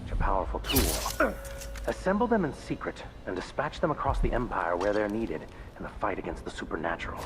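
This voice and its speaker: An actor playing a devout, stern religious inquisitor in a video game, grave and intense. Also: male voice, on the low side, very animated, fairly quick, background noise. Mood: grave, musing. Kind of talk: dramatic video game acting.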